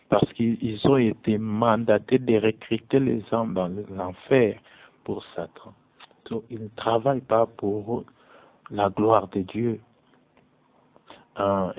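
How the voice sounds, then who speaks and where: A man preaching as a prophet in slow, deliberate French, his being English. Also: lowish voice, no echo. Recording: tinny phone-like audio, dry.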